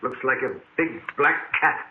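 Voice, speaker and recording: An actor playing a jittery, superstitious bartender who mumbles in folksy, ungrammatical speech, in 1940s radio style. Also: male voice, mid-range voice, measured pace, natural voice. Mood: uneasy, muttering. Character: jittery, muttering bartender spooked by shadows. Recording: noisy, narrow-band old radio recording.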